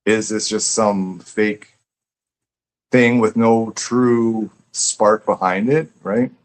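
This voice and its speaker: Lowish voiced guy, earnest poet guest chatting, repeats words while thinking out loud. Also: fairly even intonation, takes it slowly. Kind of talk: casual, reflective interview chat.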